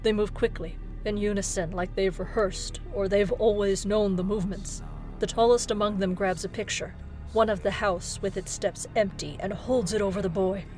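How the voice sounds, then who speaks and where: A woman narrating a scripted horror story in a suspenseful manner, often hushed, with dramatic pauses. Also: mid-range voice, fairly even intonation, low-quality recording. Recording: music bed behind the voice.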